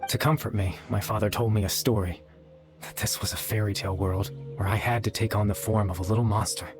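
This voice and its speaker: Guy acting as a soft spoken weary young man from a video game, lowish voice, wistful and gentle, music playing behind. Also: fairly even intonation, brisk, lightly acted. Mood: wistful, tender. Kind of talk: dramatic video game dialogue.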